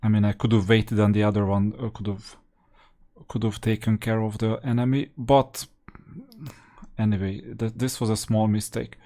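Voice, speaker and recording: A man's voice: lowish voice, little pitch movement, warm tone. Man thinking aloud through a game walkthrough. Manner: thinks aloud, halting, explanatory. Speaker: gameplay guide creator. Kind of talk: casual walkthrough commentary over gameplay.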